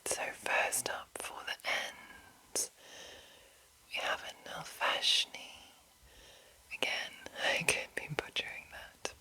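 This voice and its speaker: A woman hosting whispered ASMR, speaking in a soothing, breathy whisper, with a sore, breaking throat from being under the weather. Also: bright, forceful tone, poor audio. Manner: slow, soothing, deliberate delivery. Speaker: ASMR channel host. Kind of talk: whispered ASMR, reading and commenting.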